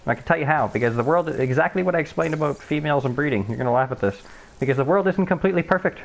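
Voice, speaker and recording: A man with a high-pitched voice, talking very fast and swearing freely as he chats during a live game stream. He stumbles and restarts his sentences, pressing his points hard. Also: creaky, rough recording. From Canada. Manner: profane, emphatic, often restarting sentences. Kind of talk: rambling voice chat.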